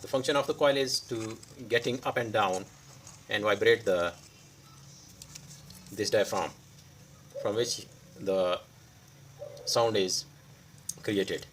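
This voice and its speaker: A man who is a non-native English speaker gives an unscripted hands-on repair demonstration, pausing mid-sentence in short phrases. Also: fairly low pitch, a bit bright, a little hiss or hum. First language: not English. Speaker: electronics repair tutorial host.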